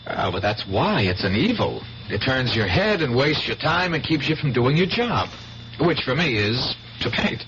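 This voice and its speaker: Casting: earnest, evasive artist for 1970s radio drama; lively intonation, dull broadcast sound with faint hum. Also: male voice, medium pitch, moderate pace. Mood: casual, evasive. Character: earnest, dreamy male painter, evasive and intense.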